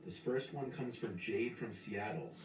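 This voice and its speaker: A man reading scripted scary-story narration in a measured, serious way. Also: on the low side, background noise. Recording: played back in a roomy space, distant. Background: music.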